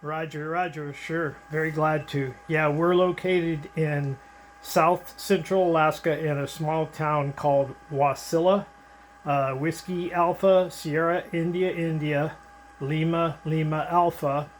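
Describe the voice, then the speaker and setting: An older American man with a slightly high voice talks as a ham radio operator. He rambles along easily, with hesitant pauses and handovers to the other station. There is a faint noise behind him in the room. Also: steady tone, poor audio. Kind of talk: casual ham radio chat with callsigns and handovers. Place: indoors at his radio, filming it.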